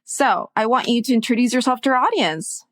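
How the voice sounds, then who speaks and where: A woman's voice: fairly high pitch, lively intonation, brisk, a bit bright, no echo, clean studio sound. A woman hosting a podcast, introducing her guest and reacting with effusive enthusiasm. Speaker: podcast host. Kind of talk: podcast interview.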